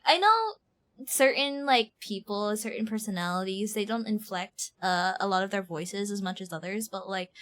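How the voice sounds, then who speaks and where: A woman streaming a game, giving casual live reaction commentary in an animated, exaggerating manner. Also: a little high. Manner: animated, mimics lines with exaggeration.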